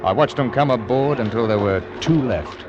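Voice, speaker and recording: An actor playing an embittered, gruff captain of a convict ship in an old-time radio drama. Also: male voice, mid-range voice, moderate pace, dull audio, close to an everyday voice. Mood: grim, reflective. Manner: gruff, haunted, irascible. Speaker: sea captain and narrator in a radio play. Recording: old radio recording with music behind.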